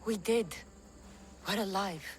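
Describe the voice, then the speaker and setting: An actress playing a tough, defiant warrior in a video game, earnest and protective, with a direct, dramatic delivery. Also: female voice, medium pitch, takes it slowly, a little hiss or hum, lightly acted. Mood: somber. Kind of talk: video game cutscene acting.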